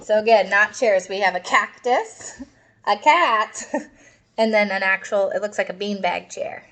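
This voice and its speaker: A woman's voice: mid-range voice, a little slow, a bit bright, rough recording. A woman reading a picture book aloud to children expressively, with explanatory asides to her listeners. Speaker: storybook reader.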